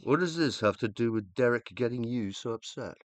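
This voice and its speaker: A man's voice: medium pitch, expressive, a little fast, no room sound, lightly acted. An actor in a radio drama playing an anguished male scientist whose mind lives on after death, intense and questioning. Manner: intense, questioning, self-doubting. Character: anguished dead male scientist living as uploaded consciousness.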